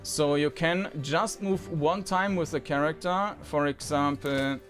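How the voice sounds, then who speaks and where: A man's voice: a little high, fairly even intonation, measured pace, fairly bright tone. A man giving unscripted commentary on a game, thinking aloud in a hesitant way. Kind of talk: unscripted preview commentary over gameplay. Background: game music.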